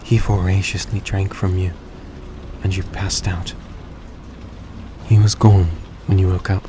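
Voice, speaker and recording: A man playing a suave, tender centuries-old vampire in a roleplay audio drama, speaking in a soft, coaxing, genteel way to the listener. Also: low voice, a little slow. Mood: solemn storytelling. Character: suave, gracious centuries-old male vampire, young-looking, tender and grateful. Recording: steady background noise, vehicle-like ambience.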